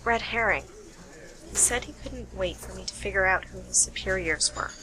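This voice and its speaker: A woman's voice: mid-range voice, moderate pace, crowd noise, natural voice, poor audio. A woman playing a shrewd, self-assured detective in an amateur radio play, explaining with dramatic deliberation. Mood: pensive.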